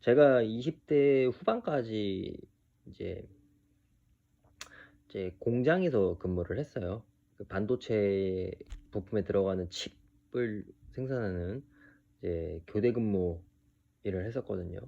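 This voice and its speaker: Low, gravelly man in his thirties, halting. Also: lo-fi sound. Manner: halting, hesitant, trailing into pauses. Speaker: video creator telling his own story. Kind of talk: unscripted personal monologue to camera.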